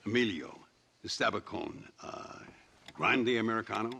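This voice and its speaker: An actor playing a proud, righteous old gunslinger in a western video game, with a gravelly, theatrical way of speaking. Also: male voice, fairly low pitch, moderate pace, sharp tone, full character voice. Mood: earnest. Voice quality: gravelly, husky.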